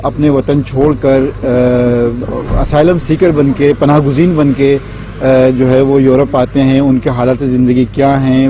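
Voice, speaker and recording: A man giving his opinion in an interview, explaining point by point with hesitant phrasing. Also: medium pitch. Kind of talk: spoken interview commentary. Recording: dull interview sound, faint noise.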